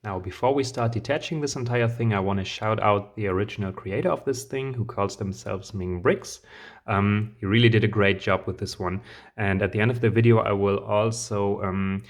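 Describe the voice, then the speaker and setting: A man with a somewhat low voice, still hoarse from a recent illness. He talks through a casual review, stopping often on fillers and restarting his thoughts. He stays keen throughout. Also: normal speed. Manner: hesitant, many ums, enthusiastic. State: hoarse, recovering lost voice. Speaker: channel host reviewing building sets. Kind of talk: casual product review vlog.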